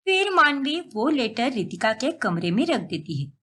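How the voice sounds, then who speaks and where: A woman's voice: fairly high pitch, sing-song, normal speed, a bit bright, slightly rough. A woman storyteller delivering scripted narration for a family story.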